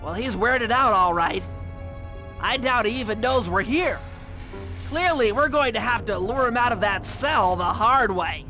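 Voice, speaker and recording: Nasal, very high-pitched nerdy turtle. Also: male voice, animated, a little slow, sharp tone, heavily acted. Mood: worried. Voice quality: nasal, thin. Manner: precise, wordy, anxious. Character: nerdy young turtle tech genius, meticulous and cautious planner. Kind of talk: video game cartoon voice acting. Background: music.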